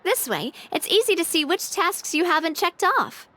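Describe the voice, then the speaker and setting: A woman playing a spirited young female leader in a video game, earnest and breezy, with expressive delivery. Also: very high voice, normal speed, full character voice. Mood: breezy, self-mocking.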